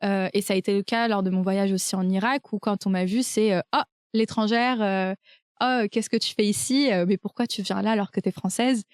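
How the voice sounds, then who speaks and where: A young French-accented woman hosts a relaxed conversation, breaking her sentences with frequent little hesitations. Her voice sits at an ordinary middle pitch, recorded close and dry. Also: a little fast, clean tone, dry recording. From France. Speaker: podcast host. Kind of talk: casual conversation.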